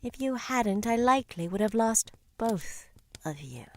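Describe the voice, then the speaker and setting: A woman's voice: fairly high pitch, lively intonation, unhurried, slight background noise. A woman playing a fond, motherly old friend in a visual novel, wry and affectionate in manner. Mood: gentle, wistful.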